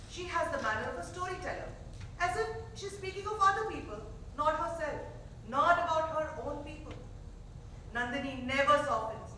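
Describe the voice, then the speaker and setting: Female stage-directions narrator, scripted delivery, bright tone; roomy, distant theater recording. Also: sits high. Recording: echoey theater, distant, faint noise.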